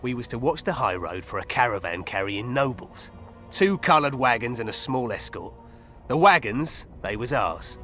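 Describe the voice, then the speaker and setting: Bright-voiced, coarse thug. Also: male voice, medium pitch, expressive, moderate pace, faint music behind. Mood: indignant. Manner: crude, blunt, colloquial. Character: coarse, foul-mouthed male hired thug, cocky and defiant. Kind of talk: video game voice acting.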